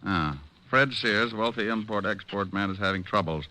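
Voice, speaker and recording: An actor playing a cocky, sardonic American private detective in an old-time radio drama, wisecracking and hot-tempered. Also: male voice, on the low side, normal speed, pushed and bright, poor audio. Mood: dry, pressing. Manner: sardonic, flippant.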